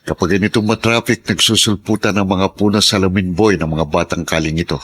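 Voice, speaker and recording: Low-voiced grumbling taxi driver. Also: male voice, fairly even intonation, fairly quick, fairly bright tone, slightly rough. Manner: colloquial, grumbling, teasing. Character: grumbling but soft-hearted male taxi driver. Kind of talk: radio drama acting.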